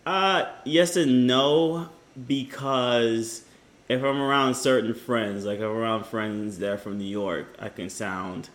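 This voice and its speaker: A man co-hosting a casual debate podcast, talking with many fillers and restarts, growing animated when teased. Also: medium pitch, slow pace. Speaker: podcast co-host.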